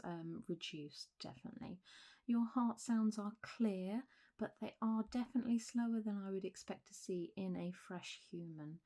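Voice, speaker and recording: The undead physician of a late-night ASMR clinic roleplay, acted by a woman, murmuring mellow, gentle reassurance across the examination couch. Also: medium pitch, natural voice. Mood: clinical, calm. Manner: gentle, reassuring, hesitant, slipping into whispers. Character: calm, reassuring zombie doctor running a specialised clinic. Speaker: doctor in a roleplay. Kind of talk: soft-spoken ASMR roleplay.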